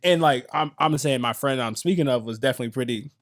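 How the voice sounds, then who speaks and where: A man's voice: mid-range voice, quick pace. An American man hosting a casual podcast, talking candidly with joking asides and loose swearing. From United States. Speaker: podcast host.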